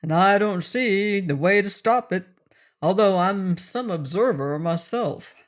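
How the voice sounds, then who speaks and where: Woman playing an old sailor man, drawling, steady, plain-spoken, storybook. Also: mid-range voice, a little slow, close to an everyday voice. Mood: calm.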